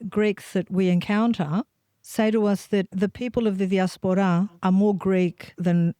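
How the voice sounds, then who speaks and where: An older woman hosts in a mid-pitched voice. She asks earnest, personal questions and slows down on the words she wants to stress. The recording is dry and close. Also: steady tone, dark tone, smooth, no room sound. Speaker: host. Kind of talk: interview questions.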